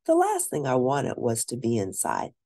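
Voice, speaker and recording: A woman reading a children's book aloud as an expressive storyteller, stressing and stretching words for drama. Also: a bass voice. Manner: animated, dramatic emphasis with drawn-out words.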